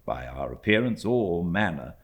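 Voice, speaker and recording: A man with a British accent reading a classic novel as an audiobook narrator, in a measured, expressive storytelling manner. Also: low-pitched. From Britain. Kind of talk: literary scripted narration.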